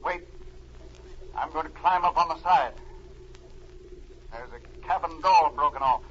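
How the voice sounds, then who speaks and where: High-voiced vintage radio adventure hero. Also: male voice, a little slow, fairly bright tone. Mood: focused. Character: brave, decisive male special agent and diver. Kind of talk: 1940s radio adventure acting. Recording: muffled, noisy old radio recording.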